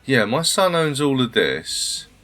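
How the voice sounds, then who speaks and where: A man's voice: mid-range voice, big pitch swings, slow pace, a bit bright, faint music behind. A man hosting a strategy game playthrough, thinking aloud in a polite, chatty way while a little under the weather. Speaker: gaming channel host. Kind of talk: casual let's-play commentary.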